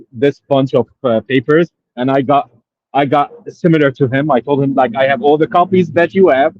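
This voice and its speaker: A man from Syria calling in to a show, telling his case in a halting way, with many pauses and fillers. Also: mid-range voice, no room sound, highs cut off. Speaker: caller. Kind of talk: phone-in question.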